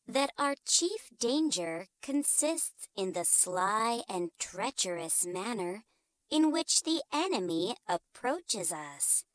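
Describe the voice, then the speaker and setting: A woman's voice: medium pitch, big pitch swings, takes it slowly, rough recording. A woman voicing a clever, proud young male mouse in a storybook, making an earnest, declamatory speech. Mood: earnest, urgent.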